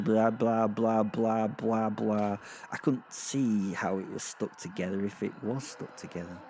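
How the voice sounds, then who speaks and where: A man playing a gleeful, foul-mouthed werewolf who narrates a dark comic horror audio drama in a rambling, conversational, sardonic way. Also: lowish voice, vocal fry, slight background noise. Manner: rambling, sardonic, sweary asides with sighs and chuckles. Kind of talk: first-person storytelling, conversational dark comedy.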